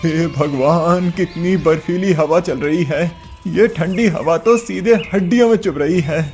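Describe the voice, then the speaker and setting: A man's voice: on the high side, unhurried, a little mellow, faint music behind. Man acting a humble, earnest poor labourer. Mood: pleading.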